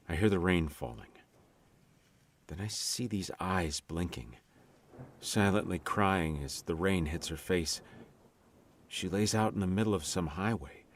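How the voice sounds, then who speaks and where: A man's voice: low-pitched, takes it slowly, long pauses. A man playing a guilt-ridden, haunted man in a radio mystery drama, speaking hesitantly and troubled. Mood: uneasy, haunted.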